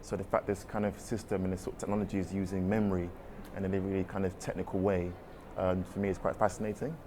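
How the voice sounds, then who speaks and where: Artist guy, deep voice, talks fast, lots of sort of and kind of. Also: little pitch movement, background noise. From London, England. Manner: rambling, hedging with "sort of" and "kind of". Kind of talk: casual interview answers. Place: art exhibition space.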